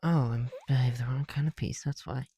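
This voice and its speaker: Soft mellow man chatting casually. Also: medium pitch, steady tone, brisk, slight background noise. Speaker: ASMR video creator. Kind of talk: casual ASMR chat while building bricks. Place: small room at home, on the floor.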